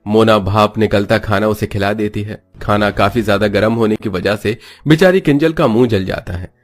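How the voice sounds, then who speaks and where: A man narrates a scripted moral story as its storyteller. His voice sits a little low, and music plays faintly behind him. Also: normal speed.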